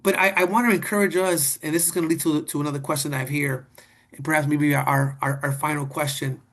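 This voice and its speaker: A man's voice: a little high, fairly even intonation, fast talker, a bit bright. A man moderating a panel discussion, talking casually and earnestly in a rambling way, often checking for agreement. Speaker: webinar moderator.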